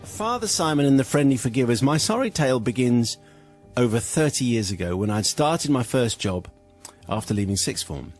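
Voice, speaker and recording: A man's voice: mid-range voice, animated, moderate pace, a little mellow, soft background music. A man hosting a radio show, reading a listener's letter aloud and often breaking into laughter.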